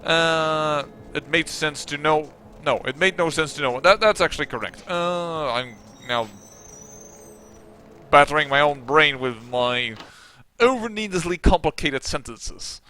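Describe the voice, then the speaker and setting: A man with a Dutch accent rambles through his game commentary in a chatty, self-mocking way. His voice is bright and fairly high for a man. Faint background noise hums beneath him. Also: unhurried. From Netherlands. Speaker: gaming channel host. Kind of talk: let's play commentary.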